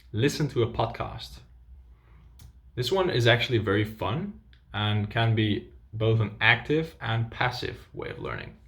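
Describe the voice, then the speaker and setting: A young Dutch-speaking man talks viewers through his language-learning tips in a bright, list-like way. His voice sits a little low. He sounds like a coach presenting to his channel. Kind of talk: scripted video lesson.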